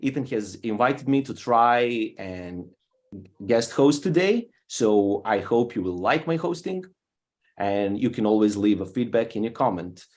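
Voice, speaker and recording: Brief: young Serbian-accented man, guest host on a video call, friendly and easygoing, mid-pitched voice. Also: lively intonation, measured pace, darkish tone. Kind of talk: live online show hosting.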